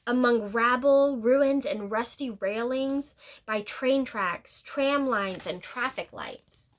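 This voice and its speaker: A woman reading a picture book aloud as a teacher would, in a deliberate, expressive storytelling manner with drawn-out words and pauses. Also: a little high, poor audio. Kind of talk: picture-book read-aloud, scripted narration with brief asides.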